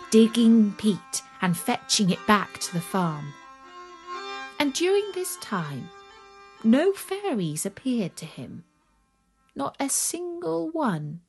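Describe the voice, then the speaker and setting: A woman narrating a children's story from a script, expressive and dramatic, dropping into breathy emphasis on some words. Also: mid-range voice.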